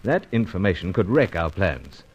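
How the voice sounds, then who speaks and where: An actor playing a suave, resolute British secret agent with a clipped, measured delivery in an old radio serial. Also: male voice, low voice, big pitch swings, a little mellow, breathy edge, lo-fi sound, heavily acted. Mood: grave, urgent. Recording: noisy old radio recording with clicks.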